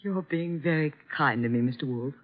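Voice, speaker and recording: An actress playing an anxious, grateful young wife in a 1950s radio drama. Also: female voice, sits low, brisk, lightly acted. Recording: thin phone-like old radio recording.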